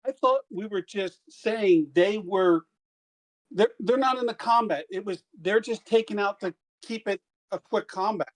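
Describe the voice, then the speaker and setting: A man's voice: sits high, unhurried, poor audio. A man playing a tabletop game, talking casually and restarting his sentences often. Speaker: player.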